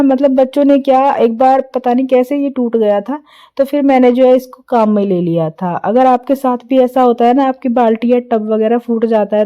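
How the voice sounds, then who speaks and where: A hoarse woman talks through her evening chores in an easy, rambling voice-over, her throat rough from a cold. Her voice sits a little high, and the recording sounds dull and lo-fi. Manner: chatty, homely, many fillers. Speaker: vlogger. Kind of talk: casual voice-over narration of daily chores.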